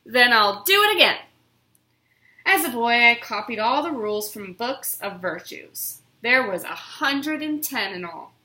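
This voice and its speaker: A woman reads a children's book aloud in a theatrical, expressive way. Her voice is bright and swoops widely, taking its time over each phrase in a roomy, echoing space. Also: a little high, a little slow, hardly any pauses. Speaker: storybook reader. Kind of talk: dramatic children's book reading. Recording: roomy, echoing room sound.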